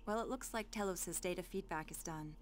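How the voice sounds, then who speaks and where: A woman's voice: on the low side, a little fast, a little hiss or hum, lightly acted. An actress playing a friendly, self-assured adult woman scientist in a video game cutscene, conversational and familiar in manner. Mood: casual, helpful.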